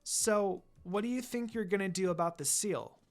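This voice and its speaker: A reader playing a gentle, curious young girl ninja in a story reading. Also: mid-range voice, normal speed, natural voice.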